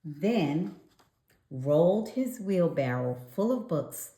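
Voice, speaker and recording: A woman narrates a children's storybook in a mellow, very animated voice. She takes her time with deliberate, dramatic pauses, and the sound is echoey like a bare room. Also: on the low side. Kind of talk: animated scripted read-aloud narration.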